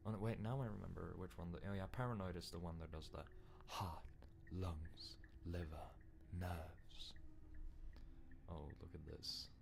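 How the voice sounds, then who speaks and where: A man's voice: low-pitched, steady tone, moderate pace, gravelly. A man practicing voice acting, talking to himself in casual, offhand asides, with faint background noise. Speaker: amateur voice actor practicing.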